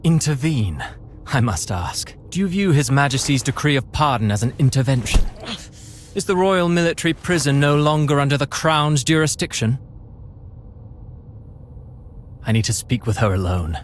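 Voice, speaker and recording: A man's voice: medium pitch, animated, normal speed, slight background noise, natural voice. Man playing a suave, eloquent prince. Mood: cool, commanding. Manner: theatrical, refined formal diction. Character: suave aristocratic prince, eloquent, wry, caring toward friend. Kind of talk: video game voice acting. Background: background music.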